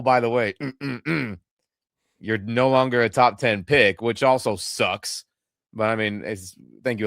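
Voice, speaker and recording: Podcast co-host with a head cold, nasal and irritated, pitch all over the place, dry sound. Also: male voice, dry recording. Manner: irritated, venting, animated. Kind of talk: casual sports reaction chat. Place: home in Los Angeles. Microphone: webcam setup.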